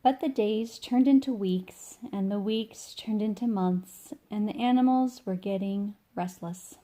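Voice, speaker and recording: Woman, mellow voice, slow pace, expressive children's read-aloud, informal storyteller delivery. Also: mid-range voice.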